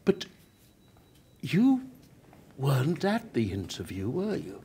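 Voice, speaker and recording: Slow, grieving male writer, swooping pitch, acted. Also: wildly swooping pitch, dark tone, breathy edge, heavily acted. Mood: grieving, confused. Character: dying male television playwright, grieving, wry and searching. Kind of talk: radio play acting.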